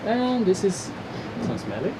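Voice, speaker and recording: An adult from Croatia with a Croatian accent, a visitor presenting a gift and speaking halting, plain English. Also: mid-range voice, big pitch swings, recorded from a distance, music in the background, poor audio. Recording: loud room noise.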